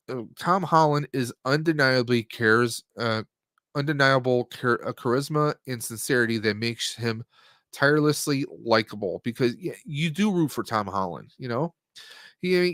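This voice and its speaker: A man's voice: mid-range voice, no room sound, clean studio sound. A man hosting a live stream, giving a casual, rambling, jokey movie review.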